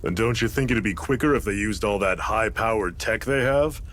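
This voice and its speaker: An actor playing a seasoned, fatherly rebel leader in a video game, with a relaxed, folksy, mentoring way of talking. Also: on the low side, moderate pace, natural voice. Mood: wry, explaining.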